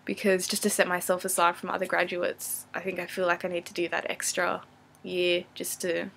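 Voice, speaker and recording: A woman's voice: on the low side, completely flat delivery, normal speed, creaky, fairly bright tone, a little hiss or hum. A young adult woman with an Australian accent, chatting casually and unscripted as a vlogger, in a rambling, self-deprecating, apologetic way. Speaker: vlogger answering viewer questions. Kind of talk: casual unscripted chat to camera.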